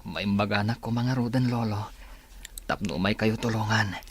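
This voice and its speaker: A man's voice: lowish voice, moderate pace, a little hiss or hum, close to an everyday voice. A man playing a weary young patient weakened by treatment in a radio drama. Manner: weary, tired speech.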